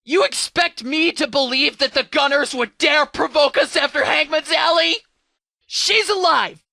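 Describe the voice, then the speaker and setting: An actor playing a commanding post-apocalyptic sheriff in a video game, speaking in a clipped, formal, authoritative way. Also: male voice, extremely high pitch, measured pace, vocal fry, sharp tone, no echo, clean studio sound, heavily acted. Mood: furious.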